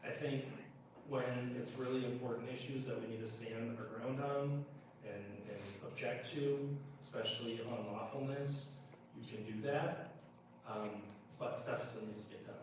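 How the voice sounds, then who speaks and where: A man gives informal remarks as a candidate at a town hall. His manner is measured and conversational. The room echoes heavily around him, and he sits away from the mic with faint noise behind. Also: mid-range voice, fairly even intonation, far from the mic.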